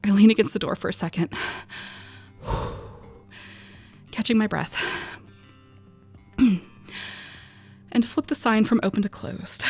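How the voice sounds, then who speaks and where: Female narrator for eerie audio drama: frightened young woman, expressive first-person delivery, breathy under tension. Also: mid-range voice. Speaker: voice actor narrating a short story.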